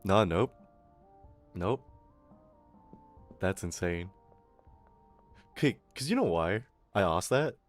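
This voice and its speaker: A man's voice: deep voice, animated, slow, long pauses, soft background music. A young man with an Australian accent that slips toward American, ranting casually on a stream, swearing and mocking people in put-on voices. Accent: Australian with some American.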